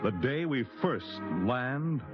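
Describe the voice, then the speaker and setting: A man's voice: mid-range voice, slow pace, muffled recording. An American man announcing a 1950s radio drama with portentous, theatrical scripted narration. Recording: vintage 1950s radio, band-limited, loud music. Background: dramatic music.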